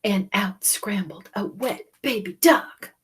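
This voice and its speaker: A woman reading a children's story aloud in a dramatic, deliberate way, with hushed moments. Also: mid-range voice, slightly rough. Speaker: storybook reader.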